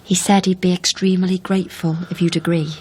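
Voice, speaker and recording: An actress playing a concerned, steady young woman who supports her partner in a naturalistic radio drama, with a brisk, questioning manner. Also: lowish voice, breathy edge. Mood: calm, coaxing. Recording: background noise behind radio drama dialogue.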